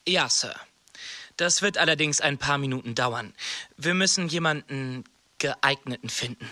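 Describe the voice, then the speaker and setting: An actor playing a polite, evasive hotel receptionist in a radio play, courteous, formal and deferential. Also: male voice, medium pitch, unhurried, pushed and bright.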